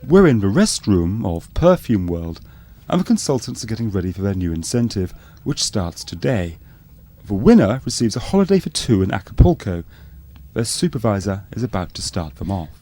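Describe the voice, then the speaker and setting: A low, mellow male voice narrates a spoof documentary with lively intonation. He plays it earnest and scripted, like docu-soap narration. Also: moderate pace.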